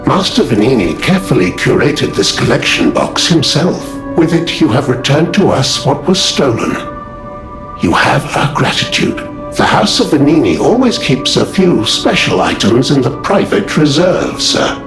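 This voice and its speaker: A fastidious puppet butler for a video game. His delivery is formal and deferential, with lively swings in pitch. The voice rings in an echoey room with music playing behind it. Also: gravelly. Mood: deferential, polished. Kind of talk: scripted video game dialogue. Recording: roomy echo.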